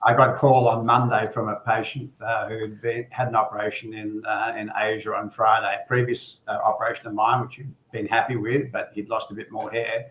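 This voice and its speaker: Low, flat-voiced man moderating a panel. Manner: measured, hesitant with fillers and repeated words. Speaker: panel moderator, hair restoration surgeon. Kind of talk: live stream panel discussion. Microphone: video call. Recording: roomy echo on a video call.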